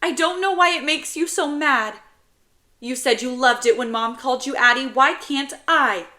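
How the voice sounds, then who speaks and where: Woman acting a stubborn, high-pitched little boy. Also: lively intonation, unhurried, sharp tone, heavily acted. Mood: frustrated, hurt. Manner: protesting, pestering, emotional. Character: stubborn, upset ten-year-old boy, pleading.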